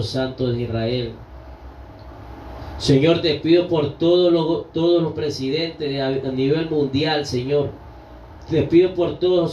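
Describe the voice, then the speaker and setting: A man's voice: fairly high pitch, a little mellow, slight background noise. Fervent praying man, roomy and distant. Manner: fervent, repeats "Lord" after almost every phrase; pleading, with frequent restarts. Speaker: prayer leader. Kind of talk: spontaneous spoken prayer. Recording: roomy, distant, dull lo-fi sound.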